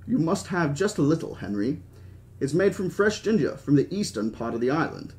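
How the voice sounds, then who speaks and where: A man's voice: on the high side, big pitch swings, moderate pace, darkish tone. A man voicing a stubborn, grandiose old park founder in his seventies, with an attempted British accent and a genteel, speechifying manner. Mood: wistful. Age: 70s.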